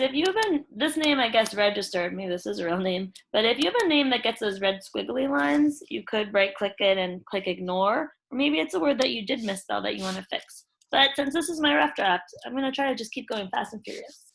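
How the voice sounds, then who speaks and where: A woman's voice: a little high, lively intonation, brisk, creaky, fairly bright tone, slight background noise. A woman teacher walking pupils through a screen-share tutorial, instructing them informally step by step. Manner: instructional, addresses children informally as "you guys". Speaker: elementary school teacher.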